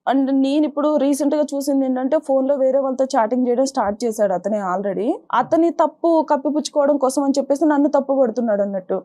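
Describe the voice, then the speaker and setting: A woman's voice: sits high, quick pace, few pauses, dark tone. A young woman, deferentially giving a personal account as a guest on a counselling talk show. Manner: deferential, often saying sir. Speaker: guest telling of marital troubles.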